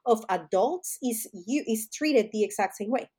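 Woman from Colombia, husky voice, warm dark tone, emphatic, repeats phrases. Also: fairly high pitch, animated, moderate pace. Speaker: podcast guest, activist. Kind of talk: conversational interview.

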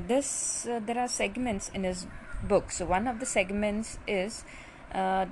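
A woman's voice: medium pitch, a little hiss or hum, low-quality recording. Chatty Indian woman doctor podcasting. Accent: Indian English. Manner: chatty, with frequent "uh" fillers. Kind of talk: casual podcast monologue.

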